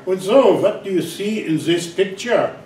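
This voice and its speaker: A man voicing a pompous male psychiatrist with a mock Austrian accent, speaking slowly and knowingly, in a roomy hall away from the mic. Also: high voice, lively intonation, a little mellow, recorded from a distance, slight background noise, voice pushed up.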